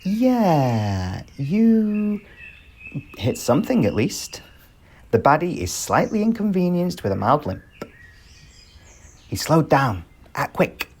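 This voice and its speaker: A man's voice: on the high side, sing-song, slow pace, long pauses, noisy background, exaggerated voice. A man playing a sarcastic, teasing rogue bandit protector in a flirtatious ASMR roleplay, exasperated but fond. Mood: exasperated, amused.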